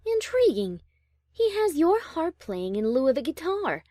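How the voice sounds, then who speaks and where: A woman voicing a refined, haughty high-class pony musician with formal, cultured phrasing in a story reading. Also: big pitch swings, measured pace, mellow, dry recording, studio quality. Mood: poised, curious. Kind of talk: audiobook character acting.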